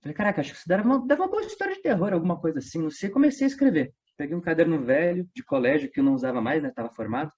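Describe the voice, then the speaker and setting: A man's voice: on the high side, big pitch swings, rapid-fire, few pauses, darkish tone. A man telling a story casually as an interview guest, slangy and conversational. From São Paulo state, Brazil. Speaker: interview guest, audio drama creator. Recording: dull lo-fi online interview audio.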